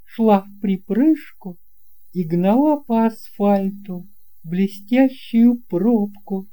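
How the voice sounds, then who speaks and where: A woman's voice: mid-range voice, drawn-out words, mellow. A woman reading a children's story as scripted narration.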